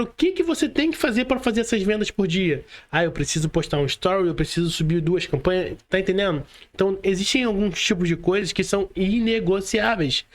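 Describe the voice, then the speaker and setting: A man with a Brazilian Portuguese accent hosting a casual motivational podcast, explaining things and often checking in with short tags. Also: high voice. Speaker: podcast host.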